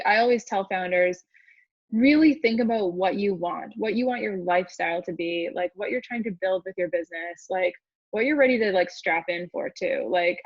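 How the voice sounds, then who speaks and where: A woman's voice: medium pitch, no room sound. A woman speaking as a startup founder on an online panel, giving advice in a casual, informal way full of "like". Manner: informal, frequent "like" as filler. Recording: slightly dull, lo-fi call audio.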